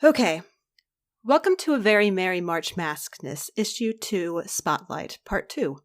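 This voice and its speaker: A woman's voice: fairly low pitch, sing-song, measured pace, no room sound, clean studio sound. A woman playing a playful comic-book narrator in an audio drama, with scripted, bantering delivery. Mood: storytelling.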